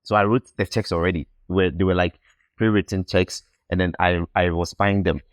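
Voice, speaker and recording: A man's voice: deep voice, fairly even intonation, moderate pace, no room sound, studio quality. A young man from Ghana, a podcast guest telling his life story in an earnest, reflective way and often repeating words while he finds his phrasing. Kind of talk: casual interview storytelling.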